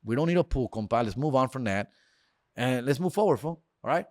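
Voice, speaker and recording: A man's voice: medium pitch, animated, brisk, darkish tone, dry recording, clean studio sound. A man, hosting a podcast in a casual, slangy monologue that mixes in Spanish phrases. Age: 40s. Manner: slangy, drops bro, dog and fool, mixes in Spanish phrases. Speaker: podcast host.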